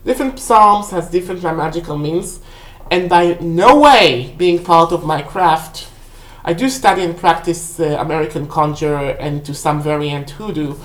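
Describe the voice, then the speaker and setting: A woman, a native Hebrew speaker, casually rambling through a book review for a vlog in a halting, self-correcting way. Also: deep voice. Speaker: book haul vlog host. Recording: roomy, echoey indoor sound.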